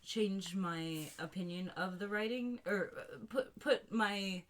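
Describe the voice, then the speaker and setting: A woman's voice: mid-range voice, fairly even intonation, takes it slowly. A woman on a podcast panel, talking casually about film in a conversational way. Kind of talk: casual film discussion chat.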